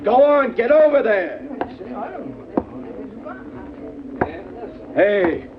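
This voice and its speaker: An actor playing a bossy, suspicious police lieutenant who gives curt commands and questions in an old radio crime drama. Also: male voice, very high-pitched, animated, a little slow, background noise, heavily acted. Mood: barking orders. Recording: muffled old radio recording.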